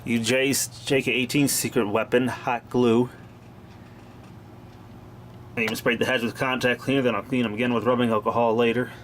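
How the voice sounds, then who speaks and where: A man's voice: medium pitch, flat delivery, normal speed, long pauses. Man in a noisy amateur recording, plain-spoken. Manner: plain-spoken, informal, mildly profane. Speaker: hobbyist repairer of vintage tape machines. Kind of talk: casual off-the-cuff repair narration.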